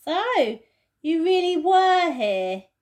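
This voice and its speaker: Storybook schoolboy, played by a woman: frank born leader, emphatic, pitched up, slow-paced. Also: big pitch swings, darkish tone, exaggerated voice. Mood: indignant. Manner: emphatic, old-fashioned schoolboy exclamations. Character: schoolboy older brother, frank, leading, indignant.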